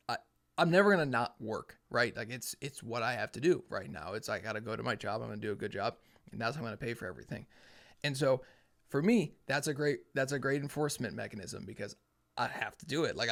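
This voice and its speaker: A man's voice: medium pitch, lively intonation, brisk, creaky, a bit bright. A young man hosting a podcast, talking alone in a casual, earnest, plainspoken way, thinking aloud. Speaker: podcast host. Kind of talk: casual solo talk.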